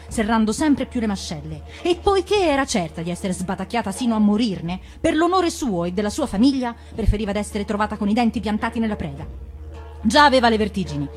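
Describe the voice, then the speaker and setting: A woman narrating a storybook tale in a dramatized reading style. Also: medium pitch. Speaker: actress reading a fairy tale.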